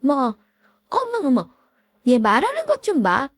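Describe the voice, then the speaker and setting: A woman's voice: high-pitched, wildly swooping pitch, a little slow, no room sound, clean studio sound, pitched above the performer's own voice, exaggerated voice. A woman voicing an arrogant former school bully, a spiteful woman who taunts and scorns her old classmate. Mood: sneering.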